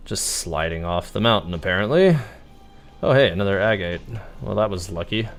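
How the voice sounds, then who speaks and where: A man's voice: sits low, animated, normal speed. A man giving casual, easygoing live game commentary as a streamer, thinking aloud as he plays. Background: game music.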